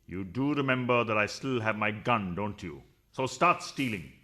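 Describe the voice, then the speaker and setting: A man's voice: fairly low pitch, lively intonation, moderate pace, bright, forceful tone. A man playing a scheming, philandering householder in a farcical radio play, sarcastic and evasive. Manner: sarcastic, evasive, fumbling through excuses. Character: flustered, scheming philandering householder, sarcastic middle-class husband.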